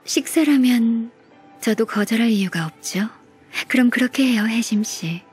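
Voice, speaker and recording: A woman playing a gentle, dependable senior secretary in a video game, soft-spoken, polite and measured like a kindly mentor. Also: fairly high pitch, husky, faint music behind. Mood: agreeable.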